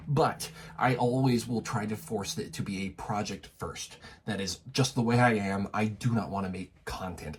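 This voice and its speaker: A man's voice: lowish voice, a little hiss or hum. A man vlogging candidly, a bit stuffy from a cold, talking frankly with lots of swearing and restarts. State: stuffy, getting over a cold. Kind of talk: candid personal vlog rant. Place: apartment in Tokyo.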